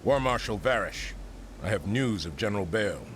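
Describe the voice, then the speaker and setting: A man playing a wary, dour but loyal soldier, grave and sceptical, with a gravelly voice in a video game. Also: lowish voice, lively intonation, fairly quick, fairly bright tone. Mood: concerned. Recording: clear noise behind the voice.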